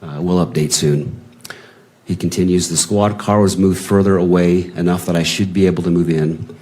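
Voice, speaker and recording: A man's voice: low-pitched, fairly even intonation, moderate pace, darkish tone. A man reading written messages aloud in a plain, unacted way, as in testimony at a hearing.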